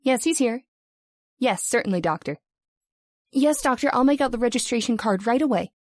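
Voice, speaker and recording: Brisk, efficient actress as hospital switchboard woman. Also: fairly high pitch, lively intonation, dry recording, clean studio sound. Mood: brisk, businesslike. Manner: businesslike, clipped. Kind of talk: old-time radio drama acting.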